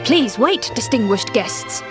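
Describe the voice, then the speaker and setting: A woman's voice: on the high side, expressive, takes it slowly, pitched above the performer's own voice. A woman voicing a deferential royal guard in a story game, formal and respectful. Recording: long hall reverb. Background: music.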